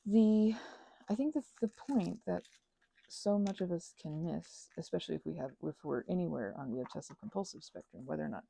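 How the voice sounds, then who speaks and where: A woman's voice: fairly low pitch, lively intonation, fairly quick, creaky, dark tone, breathy edge. A woman chatting informally to her listeners in a rambling, meandering way, drifting into asides and offering casual encouragement. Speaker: ASMR and audio channel creator.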